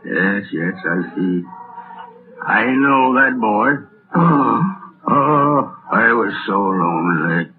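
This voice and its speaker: An actor playing a remorseful, easily moved old miser with halting exclamations in 1930s radio drama style. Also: male voice, fairly low pitch, expressive. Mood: regretful, wistful. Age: older. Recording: tinny 1939 radio broadcast, loud music.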